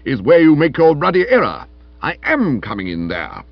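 Pompous, booming, jealous young suitor. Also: male voice, wildly swooping pitch, normal speed, dull audio, full character voice. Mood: forceful. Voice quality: deep, resonant. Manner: stiff, crisp, indignant. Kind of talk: comic audiobook character acting.

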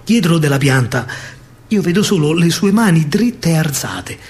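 High-pitched Roman man, dramatic verse storyteller. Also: animated, normal speed, a little mellow. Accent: Roman Italian. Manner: declamatory, emphatic, rhythmic phrasing. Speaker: stage actor and storyteller.

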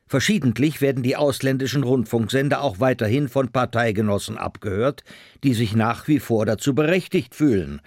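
A man with a mid-range voice reads out official documents. His delivery is deliberate, sober and formal, recorded dry with no room sound. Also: medium pitch, dry recording. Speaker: reader of historical documents.

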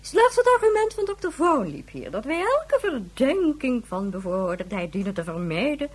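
A woman's voice: high voice, big pitch swings, normal speed, slightly rough, exaggerated voice. An actress playing an imperious, impatient female Excellency in a science fiction radio drama, haughty, formal and dismissive. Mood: haughty irritation. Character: imperious, impatient woman presiding as Her Excellency.

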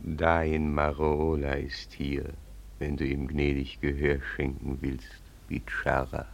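Very deep, creaky sly old servant. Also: male voice, completely flat delivery, takes it slowly, slight background noise, full character voice. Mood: sly, deferential. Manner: obsequious, echoes his master. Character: ugly, sly old servant of a raja, fawning and dangerous. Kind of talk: German radio drama acting.

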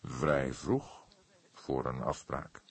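A man voicing a calm older police detective in an audiobook, probing in a hesitant, deliberate, quietly sceptical way. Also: extremely low pitch, gravelly, breathy edge, no room sound, pitched below the performer's own voice, heavily acted.

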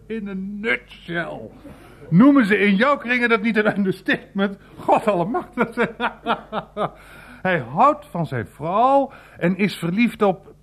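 A bluff, earthy old uncle in a radio play. His voice sits high for a man and swings widely in pitch. He blusters, mocks and stretches his words for theatrical effect. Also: animated, exaggerated voice. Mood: mocking amusement. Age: older. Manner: mocking, blustering, drawn-out emphatic phrasing.

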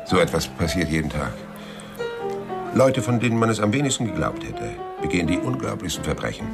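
A hardboiled private detective in a radio crime drama. His voice is extremely low and full of gravel. He delivers everything in a dry, laconic deadpan with a weary, sardonic edge. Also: brisk, loud music behind the voice, heavily acted. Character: world-weary, sardonic male private detective, middle-aged. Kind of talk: radio crime drama acting and first-person narration.

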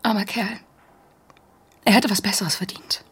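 An actress playing a soldier's young, forthright lover in an intimate radio drama, with a breathy, husky voice. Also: female voice, mid-range voice, fairly even intonation, a little slow. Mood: tender.

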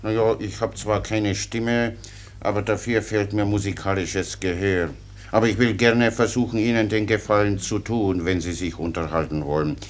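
An actor playing a cheerful, simple-minded soldier who rambles with naive, obedient earnestness in a German radio play. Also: male voice, on the low side, fairly even intonation, normal speed, slight background noise, natural voice. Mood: naively obliging.

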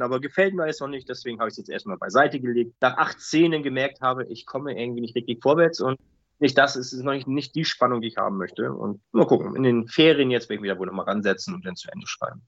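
Fast-talking man, muffled close sound. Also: mid-range voice, fairly bright tone, no echo. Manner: rambling, repeats words, often tags sentences with "ne". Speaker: interviewed audio drama author. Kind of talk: casual, chatty interview answers. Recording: muffled lo-fi audio.

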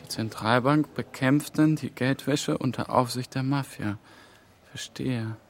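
A man playing a young, careful and sceptical European institution trainee in a radio drama, speaking in a measured way. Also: mid-range voice, close to an everyday voice. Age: young adult. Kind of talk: German radio drama dialogue. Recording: music behind the dialogue.

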